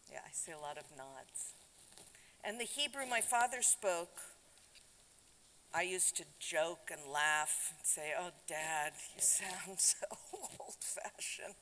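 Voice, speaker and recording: Slow-talking older woman in a reverberant sanctuary. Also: on the low side, pushed and bright. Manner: conversational and reflective, addressing the congregation. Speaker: congregant giving a talk at a service. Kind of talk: personal reflection at a Shabbat service. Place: synagogue sanctuary. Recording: long hall reverb with faint background noise.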